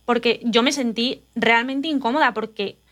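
Animated woman, confiding chat. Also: on the high side, moderate pace. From Spain. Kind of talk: casual chat.

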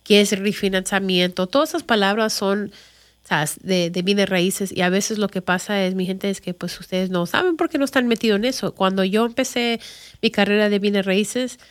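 A woman's voice: medium pitch, moderate pace. A woman from Chicago with an American accent, a native English speaker, giving an informal, conversational explainer as a real estate agent and mixing in English words and phrases. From Chicago, United States. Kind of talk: informal explainer talk to viewers.

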